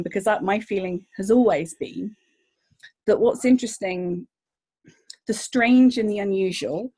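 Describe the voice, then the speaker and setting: A woman's voice: mid-range voice, dark tone, slightly rough. A woman, a journalist guest, chatting eagerly and rambling with restarts. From Northern Ireland. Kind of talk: casual interview chat.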